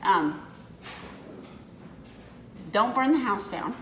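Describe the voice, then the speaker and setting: A woman's voice: mid-range voice, animated, drawn-out words, fairly bright tone, a little hiss or hum. Rambling woman in her fifties, echoey room. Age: 50s. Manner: rambling, self-correcting storyteller. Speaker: co-host. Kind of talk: casual chat.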